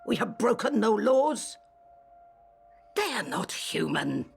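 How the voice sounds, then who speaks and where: A woman voice acting a defiant, menacing middle-aged peasant mother with a gravelly voice in a video game. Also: on the high side, very animated, takes it slowly, soft background music, heavily acted. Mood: defensive, defiant. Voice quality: gravelly, creaky. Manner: defiant, scolding, hissing emphasis. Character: menacing, sanctimony-hating murderous peasant mother.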